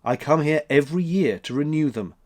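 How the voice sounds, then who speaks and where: A man acts out Old Time from a storybook, an elderly, self-assured man. He speaks in a measured, matter-of-fact way with lively rises and falls, stern but kindly. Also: fairly high pitch, voice pushed up, close to an everyday voice. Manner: measured, matter-of-fact, severe when crossed.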